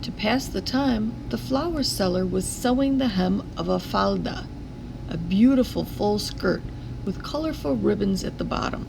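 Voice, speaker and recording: A woman reading a children's picture book aloud in a deliberate, expressive storytelling manner. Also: mid-range voice, vocal fry, background noise. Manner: deliberate storytelling with acted character voices.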